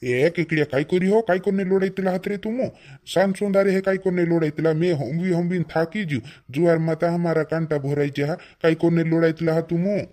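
A man's voice: on the high side, brisk, a little mellow, close to an everyday voice. A man playing a weary old village man in a cartoon comedy, chiding repetitively. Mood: weary, scolding.

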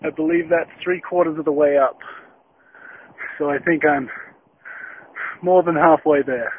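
A man's voice: a little high, fairly even intonation, measured pace, a little hiss or hum. A middle-aged man filming himself on an open hillside with a phone held at arm's length, chatty and self-deprecating about his hike. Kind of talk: casual self-filmed vlog. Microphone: phone camera at arm's length. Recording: tinny lo-fi phone recording.

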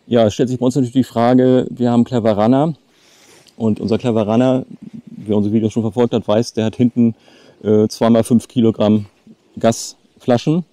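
Male travel vlogger, late 50s, casual delivery, very dark soft-edged tone, dry outdoor sound. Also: medium pitch, steady tone, normal speed, dry recording. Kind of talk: casual chat to camera. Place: outdoors by a lake.

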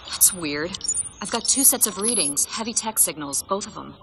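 A woman playing a tough, sardonic soldier with a brisk way of talking in a video game. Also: mid-range voice, animated, creaky, background noise. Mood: puzzled. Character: tough, wry female soldier. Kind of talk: video game action acting.

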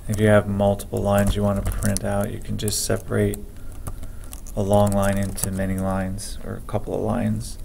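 A man teaching a programming lesson over a screen recording, speaking slowly and haltingly as he reads code aloud. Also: lowish voice, monotone, vocal fry, dark tone, slight background noise. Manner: slow, halting, dictates code aloud with many pauses. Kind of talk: screen-recorded coding tutorial.

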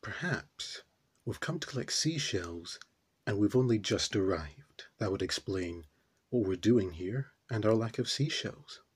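A puzzled, brooding man played by a male actor muses through an absurdist stage monologue. His voice is lowish and husky, and he ponders very slowly, halting between thoughts. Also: animated, heavily acted. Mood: puzzled musing. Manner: halting, pondering, with deliberate pauses.

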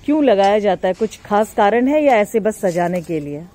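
A woman's voice: medium pitch, animated, moderate pace, mellow. A woman with an Indian accent chatting casually and inquisitively as a vlog host, mixing Hindi and English. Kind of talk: casual vlog commentary and chat with artisans. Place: outdoors in an open yard.

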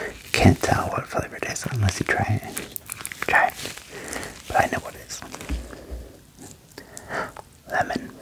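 A young man performs an ASMR medical roleplay in a breathy whisper. His voice sits low and he takes his time, giving gentle, reassuring little instructions close to the microphone. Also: rough recording. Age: young adult. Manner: gentle personal attention, short reassuring phrases. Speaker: ASMR performer playing an alien doctor. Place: small wood-panelled room indoors. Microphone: close microphone with furry windscreen.

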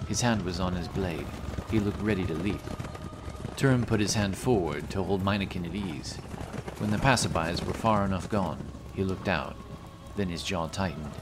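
Deep-voiced male fantasy narrator. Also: moderate pace, noisy background. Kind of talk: scripted fantasy audiobook narration.

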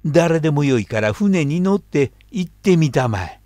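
A man's voice: fairly high pitch, lively intonation, moderate pace, dark tone, no room sound, natural voice. A man in his seventies voicing a haughty, mocking male snake who scolds and talks down to others. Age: 70s. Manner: scolding, condescending.

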